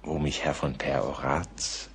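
A deep, brooding husky writer. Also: male voice, flat delivery, fairly bright tone, exaggerated voice. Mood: earnest recollection. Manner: brooding, deliberate, literary. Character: reflective, melancholy male writer recounting a mystery. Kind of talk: dramatic radio play narration.

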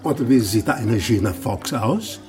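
Radio drama casting: fatherly male café owner, unhurried, lively melody, faint music behind. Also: fairly low pitch. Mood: teasing, caring. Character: fatherly, teasing café man who feeds people.

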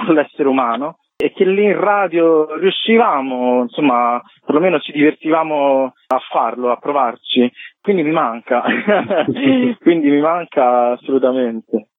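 Male radio guest, fairly high voice, informal and reflective, very narrow phone-like audio. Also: measured pace, no echo. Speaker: interview guest. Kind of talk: spontaneous radio interview talk. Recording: thin dry phone-like radio line.

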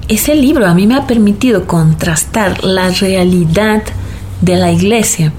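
A woman's voice: medium pitch, unhurried, darkish tone, slight background noise. A woman with a Bolivian accent, a singer-songwriter talking reflectively and conversationally in an interview. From Bolivia, mining camps.

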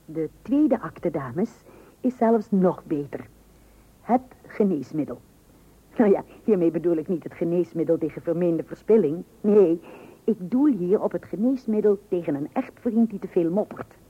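A woman's voice: fairly low pitch, lively intonation, moderate pace, husky. An actress playing a stubbornly thrifty, teasing housewife and mother in a radio comedy, breezy and insistent. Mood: sly lecturing. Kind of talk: Dutch radio comedy play acting. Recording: dull, lo-fi old radio recording.

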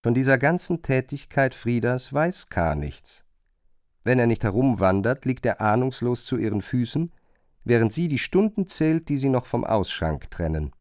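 A man's voice: medium pitch, big pitch swings, moderate pace, darkish tone, no room sound, studio quality. A man narrating a radio drama in a scripted, literary style.

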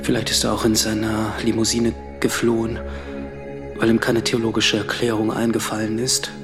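An actor playing a solitary, reflective last man on earth in a radio drama, brooding and measured, with music behind him. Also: on the low side, monotone, lightly acted. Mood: dry, wondering. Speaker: actor narrating a radio play. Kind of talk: literary radio drama monologue.

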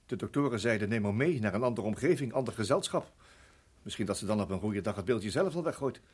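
A troubled, protective husband in a radio drama. His voice is rough-edged and moves widely in pitch. He sounds weary and earnest, halting and repeating himself. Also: lively intonation, darkish tone. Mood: weary, despairing. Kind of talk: radio play acting.

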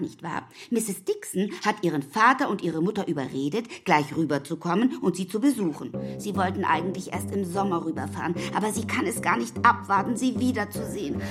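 A woman's voice: medium pitch, close to an everyday voice. A woman playing a rambling, gushing spinster. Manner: rambling, effusive, loses her thread. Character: chattering spinster village woman, breathless gossip. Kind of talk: radio drama acting.

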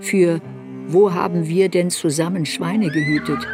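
An actress playing a serious woman looking back on her girlhood shame, narrating a literary radio play in a measured, introspective way. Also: on the low side, steady tone, loud music behind the voice. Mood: bitter, grave.

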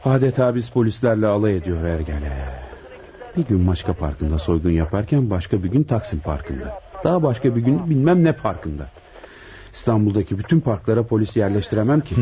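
An earnest police detective in a radio drama, respectful but frustrated. His voice is fairly low and mellow. The recording sounds slightly muffled. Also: very animated, a little fast. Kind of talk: radio play acting.

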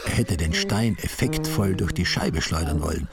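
A man's voice: low-pitched, wildly swooping pitch, moderate pace, vocal fry. A man narrating a story with dry, ironic humor. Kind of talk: wry storytelling reading.

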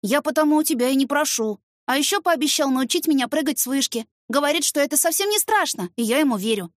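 A woman voicing an energetic young son in an audiobook, earnest and sulky in a childlike way. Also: high-pitched, fast, dry recording, clean studio sound, pitched above the performer's own voice. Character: energetic young red-haired son, loud, sulky, candid.

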